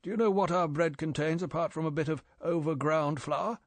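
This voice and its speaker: A man's voice: a little high, a little fast, no echo, voice pushed up, close to an everyday voice. A man reading an audiobook, voicing M, a stern older male spy chief who lectures in a clipped, scolding way. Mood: stern lecturing.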